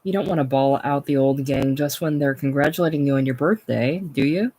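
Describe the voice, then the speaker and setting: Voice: mid-range voice, fairly quick, close to an everyday voice. A reader voicing a hearty, pushy male salesman who cajoles everyone in a raised, cheerful way. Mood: jovial. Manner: jovial, cajoling, raised and bright.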